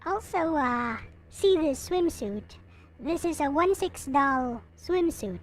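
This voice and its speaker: High-pitched woman, slow casual show-and-tell. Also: expressive. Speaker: vlogger showing collectible figures.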